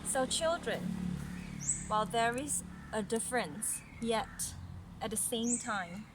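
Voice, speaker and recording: A woman reading a fairy tale aloud to children in an instructive way, pausing for chatty comments and questions. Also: a little high, lively intonation. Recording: noisy home recording.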